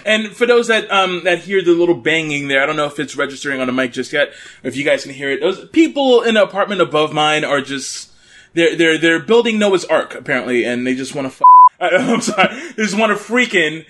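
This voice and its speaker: A man hosting a casual podcast from his apartment, loud and joking at his own expense into a desktop mic. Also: fairly high pitch, expressive, brisk. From Brooklyn, New York. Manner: loud, jokey, self-mocking. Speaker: podcast host. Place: home apartment. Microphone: USB desktop condenser mic.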